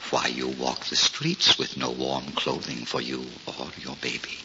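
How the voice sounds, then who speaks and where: An actor playing a kindly, pious old Russian cobbler in a radio drama, speaking haltingly in folksy, old-fashioned phrases. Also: male voice, rumbling low, flat delivery. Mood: tender, kindly. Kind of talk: 1940s radio drama acting. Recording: muffled, noisy 1940s radio recording.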